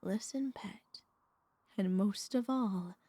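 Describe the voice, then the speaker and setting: Possessive vampire mistress for ASMR roleplay, played by a woman: breathy half-whispers, mellow and unhurried, soothing but commanding. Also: mid-range voice, no echo. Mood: possessive, coaxing. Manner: slow, coaxing, commanding hypnotic delivery. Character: possessive, soothing female vampire mistress hypnotizing her pet.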